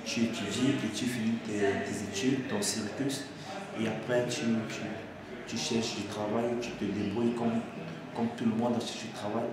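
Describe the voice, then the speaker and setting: A young man from Cameroon, an engineering student, giving a halting unscripted testimonial to camera with many restarts. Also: on the low side. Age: young adult. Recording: echoey room with steady background noise.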